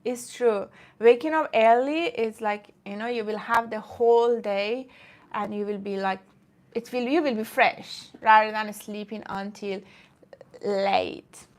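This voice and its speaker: A woman's voice: mid-range voice, measured pace. A woman from Iran who streams about fitness, chatting casually with viewers in a rambling way and often breaking off to greet commenters.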